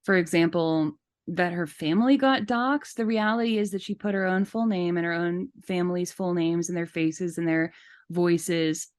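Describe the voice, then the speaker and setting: A woman's voice: on the low side, fairly even intonation, normal speed. A woman co-hosting a podcast, chatting casually in an articulate, emphatic way. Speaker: podcast co-host. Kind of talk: casual chat.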